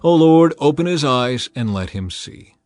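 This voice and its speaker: Commanding prophet in a scripture drama, read by a man, music softly behind. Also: fairly high pitch, animated, measured pace. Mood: prayerful. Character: calm, commanding male prophet.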